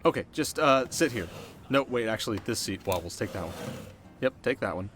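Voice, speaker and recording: A cocky teenage bad boy acted by a man speaks over background music in an ASMR roleplay. His voice sits at a middling male pitch, and he talks in loose street slang, teasing on the surface and soft-hearted underneath. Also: animated, fairly quick, lightly acted. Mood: casual, helpful. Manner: slangy, casual, stumbling when flustered. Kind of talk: ASMR roleplay acting, addressing the listener.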